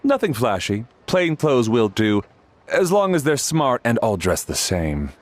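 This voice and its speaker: An actor playing a polite, fastidious manservant who speaks formally and with measured care in a game cutscene. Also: male voice, mid-range voice, sing-song, a little hiss or hum. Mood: exacting, businesslike. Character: courteous, fastidious male servant arranging his master's funeral. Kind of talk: animated game cutscene acting.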